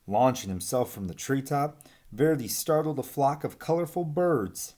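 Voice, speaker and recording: A man reads a children's story aloud in an expressive storyteller's style. His voice sits at an average pitch and moves up and down with lots of animation. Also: normal speed.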